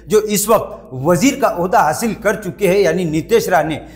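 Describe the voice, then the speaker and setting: A man anchoring a news report, reading formally and addressing the viewers directly. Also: high voice.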